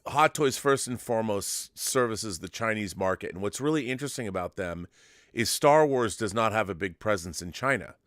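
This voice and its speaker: Male talk host, lower register, halting casual fan chatter, close dry sound. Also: expressive, fairly bright tone, dry recording. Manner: halting, repeats and restarts phrases.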